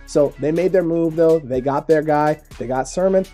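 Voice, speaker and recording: A young adult man hosting a sports podcast over a video call, leading the talk in a quick, animated way. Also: a little high, soft background music. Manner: fast, animated, leads the discussion. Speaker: podcast host. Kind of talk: casual sports analysis chat. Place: small room, indoors. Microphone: webcam, mic close in front, video call.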